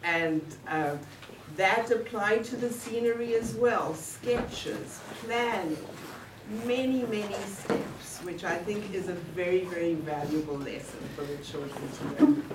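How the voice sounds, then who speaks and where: Slow-talking woman, distant and echoey. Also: on the low side. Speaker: teacher introducing a school show. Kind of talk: spoken introduction to an audience. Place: echoey indoor room at a school event. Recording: roomy, distant mic, clearly noisy.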